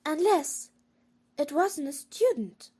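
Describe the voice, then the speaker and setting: An earnest, clever schoolgirl acted by a woman, very high in pitch. Her emphatic delivery swings up and down in lively audio drama style. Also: slow. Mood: intent. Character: earnest, quick-thinking schoolgirl. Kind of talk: fan audio drama acting.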